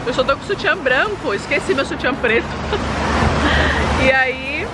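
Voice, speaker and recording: A woman's voice: sits high, expressive, takes it slowly, heavy background noise. A woman in her thirties chatting to viewers in a casual travel vlog, laughing often, filmed on a phone held at arm's length outdoors. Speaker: vlogger. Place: outdoors on a busy city street. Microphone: handheld phone at arm's length. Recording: street crowd and music behind.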